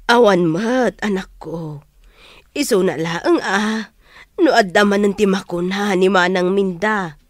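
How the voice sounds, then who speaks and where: Woman acting a calm middle-aged auntie, slow and gently firm, filipino radio drama, dry sound. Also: mid-range voice, no echo, close to an everyday voice. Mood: gentle.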